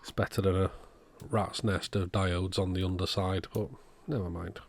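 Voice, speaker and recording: A man's voice: low voice, level tone, moderate pace, a little mellow, a little hiss or hum. A man giving a casual, matter-of-fact repair walkthrough, thinking aloud in an understated way. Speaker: electronics repairer presenting own channel. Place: indoors at a workbench.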